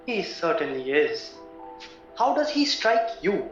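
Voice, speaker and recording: A man playing a calm, reassuring and loyal solicitor in an audio play. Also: a little high, slow pace, soft background music. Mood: measured. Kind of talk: stage-style radio play acting. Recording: muffled, very echoey room recording.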